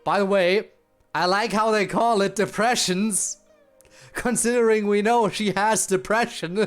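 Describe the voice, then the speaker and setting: A man's voice: high voice, steady tone, measured pace, soft background music. A man giving casual live gameplay commentary, rambling and musing aloud to viewers. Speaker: game streamer.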